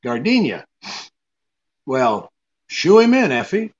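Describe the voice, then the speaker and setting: Guy doing tough radio private eye, wry n offhand, lively pitch, slightly dull sound. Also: takes it slowly. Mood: wry, casual. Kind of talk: 1940s radio detective drama acting.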